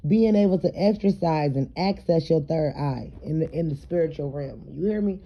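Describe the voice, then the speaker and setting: A woman with a raspy, slightly hoarse voice, chatting casually to her followers in a home vlog while she does her hair, loose and streetwise with plenty of fillers. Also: deep voice, moderate pace, highs cut off. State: hoarse, throat congested. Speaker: vlogger.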